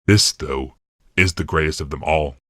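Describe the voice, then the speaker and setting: An imperious male ruler of a fantasy audiobook, acted out by a man, with an extremely low voice. He speaks in a measured, commanding way with calm condescension, recorded very dry and close. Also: dry recording, heavily acted. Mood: proud, calculating. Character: imperious male military ruler, calm, calculating, gently mocking. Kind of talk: audiobook character dialogue.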